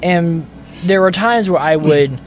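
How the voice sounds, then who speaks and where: A man's voice: fairly high pitch, a little slow. A man hosting a podcast who explains things step by step in a loose, chatty way. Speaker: podcast host. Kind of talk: casual podcast chat.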